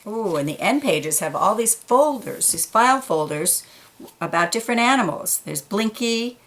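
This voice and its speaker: A woman reading a children's picture book aloud, gently pointing out details in the pictures between passages. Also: mid-range voice, lively intonation, normal speed.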